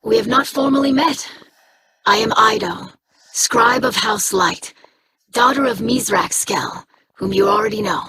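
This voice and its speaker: An actress playing a formal, earnest young alien scribe in a video game, speaking precisely. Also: female voice, on the high side, measured pace, bright, forceful tone.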